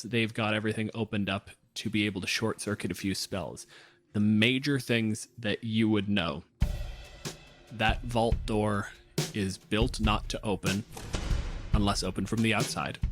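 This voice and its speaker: A man's voice: lowish voice, moderate pace, fairly bright tone, faint music behind. A man working as game master, improvising descriptive, conversational narration for a tabletop game.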